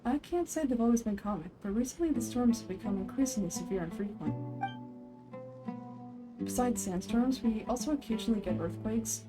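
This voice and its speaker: A woman voicing a courteous, weary older male village chief in a game, speaking in a measured, formal way. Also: on the high side, music in the background, natural voice. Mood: grave.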